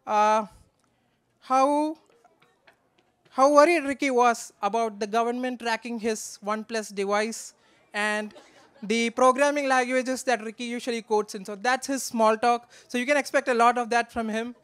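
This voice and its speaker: High, clear-voiced young man. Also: fairly even intonation, fairly bright tone. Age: 20s. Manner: joking, affectionate, halting delivery. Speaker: best man, groom's brother. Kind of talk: humorous wedding toast read from notes. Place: wedding reception in a large draped marquee. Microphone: handheld microphone.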